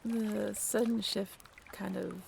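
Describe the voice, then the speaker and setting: A young woman playing a friendly half-mermaid in an intimate audio roleplay, with a creaky voice and a casual, halting, wry and bashful way of talking. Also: medium pitch, fairly even intonation, a little hiss or hum. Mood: evasive, reassuring. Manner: casual, halting, softly self-deprecating. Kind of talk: intimate audio roleplay, one-sided conversation.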